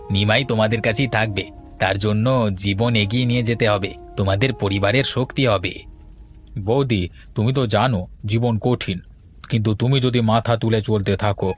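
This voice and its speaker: A man's voice: lowish voice, lightly acted. Man playing a solemn, consoling cartoon neighbour. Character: sympathetic village neighbour consoling a bereaved family. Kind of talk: cartoon story voice acting. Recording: music behind the voice.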